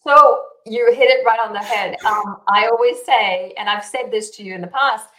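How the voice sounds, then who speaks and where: A woman's voice: fairly high pitch, moderate pace, pushed and bright, poor audio. Guest realtor, woman in her forties, light South African accent, chatty and easygoing, laughs often. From Israel. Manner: chatty, laughs easily, many fillers. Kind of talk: casual interview answers.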